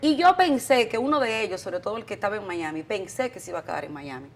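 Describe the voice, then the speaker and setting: A woman's voice: mid-range voice, animated, quick pace, slight background noise. A middle-aged Dominican woman co-hosting a radio talk show, pressing her point with a family story. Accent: Dominican Spanish. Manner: insistent, storytelling. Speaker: radio co-host. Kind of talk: casual panel chat.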